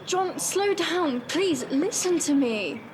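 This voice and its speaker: An actress playing a gentle, humble young Victorian woman in an audio drama, polite and restrained but firm. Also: high-pitched, lively intonation, slow pace. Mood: distressed, firm. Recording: noisy outdoor ambience behind.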